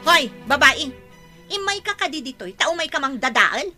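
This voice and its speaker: Spiteful Ilocano nanny, piercingly bright. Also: female voice, high voice, big pitch swings, moderate pace, soft background music, heavily acted. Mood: angry, jealous. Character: spiteful scheming jealous nanny, a grown woman. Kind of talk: radio drama acting.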